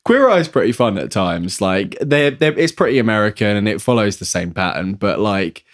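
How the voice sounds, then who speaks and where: A man's voice: fairly low pitch, sing-song, normal speed. A man co-hosting a podcast in casual, conversational banter. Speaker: podcast co-host.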